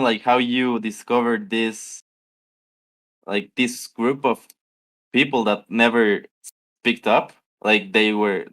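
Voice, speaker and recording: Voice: medium pitch, fairly bright tone. An interviewer asking casual questions with hesitation and many fillers, often giving short words of encouragement.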